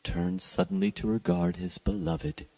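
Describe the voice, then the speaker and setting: A man giving a solemn, theatrical, measured dramatic reading of a gothic short story. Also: low voice, sing-song, gravelly, heavy background noise. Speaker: literary podcast reader.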